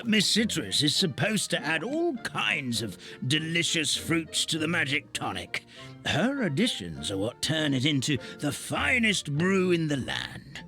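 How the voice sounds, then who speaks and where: A man voicing a fussy, excitable elderly fairy-tale grandpa in a video game, theatrical and courteous. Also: on the high side, full character voice. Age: older. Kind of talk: video game character acting. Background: background music.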